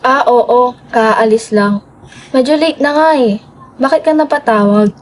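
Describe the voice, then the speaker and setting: A woman's voice: on the high side, unhurried, slight background noise, natural voice. A woman playing a breezy, self-assured young woman in a radio drama, casual in her delivery. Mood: affectionate.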